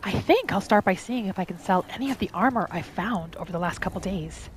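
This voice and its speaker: A woman narrating her game roleplay in character, with a gentle, musing manner as if talking to herself and her pets. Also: mid-range voice, expressive, brisk, a little hiss or hum. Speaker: roleplay gaming host. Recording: music behind the voice. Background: background music.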